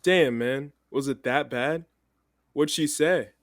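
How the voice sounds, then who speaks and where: A man's voice: medium pitch, slow, dry recording. Brash, slangy male executive, dry recording. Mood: teasing, curious. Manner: casual, slangy. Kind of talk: audio drama acting.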